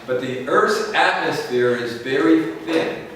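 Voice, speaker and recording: An American man giving a slow, explanatory lecture to a school class, often checking in with "okay?". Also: a little high, expressive, a bit bright, a little hiss or hum. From United States. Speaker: English teacher. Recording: echoey classroom from far off.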